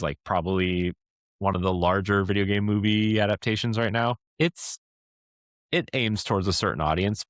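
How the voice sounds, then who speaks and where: Man, low-pitched, podcast host, casual discussion, rambling, frequent check-ins, dry close sound. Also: fairly bright tone, no room sound.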